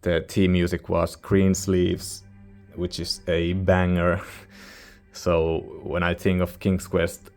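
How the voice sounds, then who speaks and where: Male reviewer, non-native English speaker: low-pitched, very even intonation, informal and halting, rambling casually. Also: faint music behind. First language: not English. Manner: hesitant, informal, searching for words. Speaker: music reviewer, channel host.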